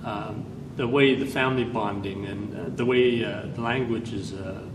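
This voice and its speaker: Canadian man, lowish voice, hesitant interviewee, distant mic in an echoey room. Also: fairly even intonation, normal speed, noisy background. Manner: hesitant, many fillers and restarts. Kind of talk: personal history interview.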